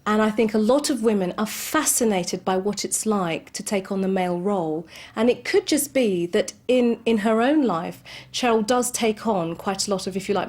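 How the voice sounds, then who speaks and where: A woman gives expert commentary for a documentary. She explains in a measured, steady way. Her pitch is ordinary and mid-range. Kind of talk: analytical commentary.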